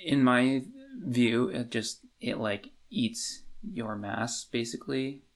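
A man with a noticeably creaky, gravelly voice co-hosts a casual book podcast. He is informal and hedges often, working out his ideas as he talks. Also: medium pitch, fairly even intonation, slow pace. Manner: hedging, informal, thinks aloud. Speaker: podcast co-host.

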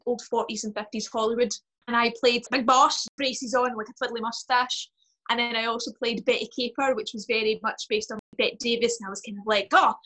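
A woman's voice: a little high, fairly bright tone, poor audio. A woman who performs for children, chatting casually and effusively on a podcast.

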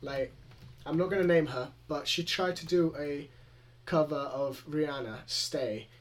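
A man's voice: fairly high pitch, measured pace. A young man with a Greek accent, co-hosting a music podcast in casual, rambling chat. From Greece. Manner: chatty, rambling, frequent laughs. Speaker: podcast co-host.

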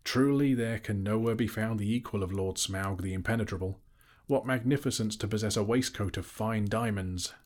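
A man voicing a small, timid but plucky male hobbit in a storybook, nervously polite with flowery flattery. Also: lowish voice, moderate pace, lightly acted. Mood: flattering. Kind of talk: audiobook character voice.

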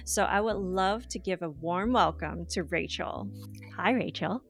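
A woman's voice: fairly low pitch, a little slow, faint music behind. A woman hosting a podcast interview, chatty and friendly, with frequent fillers and laughs. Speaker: podcast host. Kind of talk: casual interview chat. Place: Toronto.

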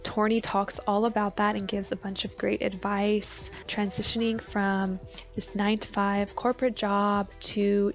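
A woman hosting her own podcast, asking long, wandering questions with enthusiasm and spiritual wording. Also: medium pitch. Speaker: podcast host.

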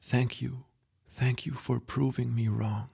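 A man doing a frail, hushed old grandpa. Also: medium pitch, flat delivery, creaky, slightly rough, exaggerated voice. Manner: soft, hushed, slow and tender. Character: frail dying old grandfather, tender and wise.

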